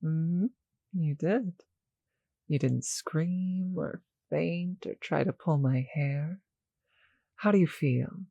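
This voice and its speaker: Someone acting a slow purring vampire, mellow n gravelly, teasing and possessive, asmr roleplay, really close and dry. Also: medium pitch, dry recording, muffled recording. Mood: teasing, restrained. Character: seductive, doting vampire with a teasing, possessive streak. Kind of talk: intimate ASMR roleplay audio.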